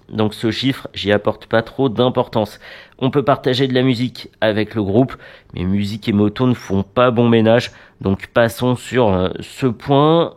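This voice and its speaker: A man presenting a product review in a conversational, matter-of-fact, unscripted way. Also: on the low side.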